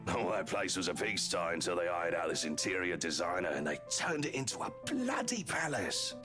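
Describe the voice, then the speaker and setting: A man playing a jovial, boastful married police officer who flatters socialites, chatty and theatrical, in a video game. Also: sits low, moderate pace. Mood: enthusiastic. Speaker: character voice actor in a visual novel. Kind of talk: voiced game dialogue. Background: music.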